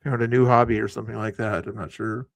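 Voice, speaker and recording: A man's voice: fairly low pitch, flat delivery, fast, creaky, dry recording. A man hosting a live stream, chatting in a laid-back way and speaking to his viewers. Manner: laid-back, talks to the chat. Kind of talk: casual live-stream chat. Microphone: streaming microphone.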